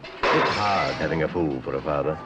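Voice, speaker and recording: A man's voice: very deep voice, moderate pace, over music. A man delivering scripted cautionary narration in a blunt, scornful manner for a public information film. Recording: muffled, very noisy old film soundtrack.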